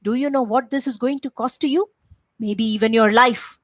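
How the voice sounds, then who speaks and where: A haughty ten-headed demon king bellows his contempt, as a woman storyteller puts him on. The voice sits high and is close and dry, with no room around it. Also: expressive, normal speed, no room sound. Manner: roaring, haughty, scornful.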